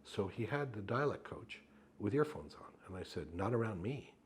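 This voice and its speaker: A man, a Yiddish-speaking actor, tells an animated story in an oral history interview and acts out the dialogue he recounts. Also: lowish voice, normal speed, slight background noise.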